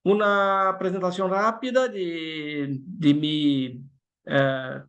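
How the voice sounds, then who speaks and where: A man with a fairly high voice and a strong creak in it gives an expert webinar presentation. His accent is Brazilian, as a native Portuguese speaker, and he moves haltingly, searching for his words. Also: fairly even intonation, fairly bright tone.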